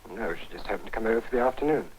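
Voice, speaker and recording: Man acting young english officer, deferential, defensive, talks fast, lowish voice, old film. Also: lively intonation, slight background noise, close to an everyday voice, low-quality recording. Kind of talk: 1940s British film acting.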